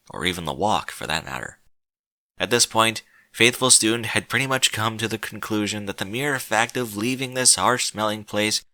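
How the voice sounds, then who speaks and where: Bright, forceful male storyteller, low-pitched. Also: dry recording. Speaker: narrator reading a story. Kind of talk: dramatic scripted storybook narration.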